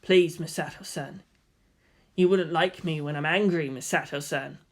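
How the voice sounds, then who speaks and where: A woman voicing an earnest, odd teenage boy pilot with a dramatic, deliberate manner. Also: low voice. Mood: earnest, intense.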